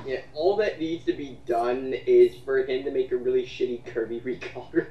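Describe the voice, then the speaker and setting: A man speaks from some distance in a roomy, slightly dull recording. He sounds derisive and sweary as he gives halting, casual commentary and reads out comments. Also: medium pitch.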